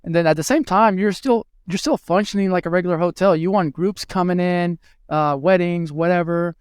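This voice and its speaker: A man's voice: high-pitched, fairly quick, smooth, dry recording, clean studio sound. A man in his thirties from Venezuela, a guest answering interview questions with many fillers and restarts. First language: Spanish. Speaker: guest, hospitality tech executive.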